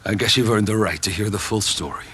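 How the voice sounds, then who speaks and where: An actor playing a weary, gruff older mechanic and surrogate father in a video game, plain-spoken like someone telling his story. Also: lowish voice, fast talker, fairly bright tone, a little hiss or hum, lightly acted. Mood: weary, reflective. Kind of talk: video game cutscene acting.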